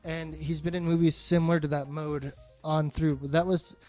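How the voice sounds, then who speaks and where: A man's voice: a little high, level tone, normal speed, a little mellow, soft background music. A man in a casual film discussion as a co-host, blunt and swearing often.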